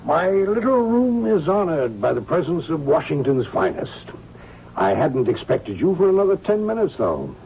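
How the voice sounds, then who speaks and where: Sly old crook, highish, theatrical. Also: male voice, big pitch swings, normal speed, creaky. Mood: teasing. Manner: teasing, mock-courtly. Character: sly, genial, theatrical retired male crook, older. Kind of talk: radio drama acting. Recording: dull, noisy old radio broadcast.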